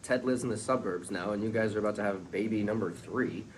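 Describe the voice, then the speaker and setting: A man's voice: lowish voice, fast talker, close to an everyday voice. A man playing a glib, flippant womanizer with a sincere side, reading a sitcom script. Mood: upbeat reassurance. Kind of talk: sitcom script table read. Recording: clearly noisy room recording.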